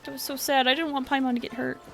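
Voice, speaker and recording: A woman's voice: sits high, little pitch movement, fast, creaky, faint music behind. A woman giving casual live commentary as a video game streamer, playful and chatty.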